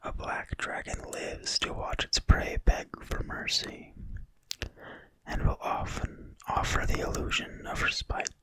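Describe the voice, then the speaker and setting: A person reading aloud in a quiet, breathy whisper, slowly and soothingly, in an ASMR style. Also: no room sound, low-quality recording. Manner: quiet, slow, deliberate, soothing delivery. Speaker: ASMR video creator and reader. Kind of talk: whispered ASMR reading of a fantasy game manual.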